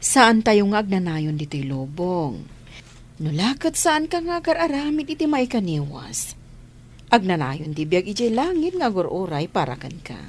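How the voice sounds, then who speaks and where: A woman's voice: medium pitch, very animated, moderate pace, a little hiss or hum, natural voice. A woman with a northern Philippine accent playing a kindly, consoling religious sister in a radio drama. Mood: gentle, consoling. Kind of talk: village radio drama acting.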